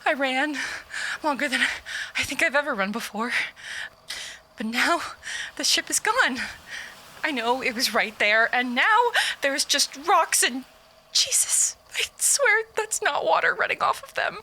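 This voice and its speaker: High, frightened stranded woman. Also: animated, pushed and bright, a little hiss or hum, full character voice. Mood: shaken, horrified. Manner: shaken, halting, breathy outbursts and whispers. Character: frightened, stranded woman explorer recording a desperate log. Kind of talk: audio drama monologue.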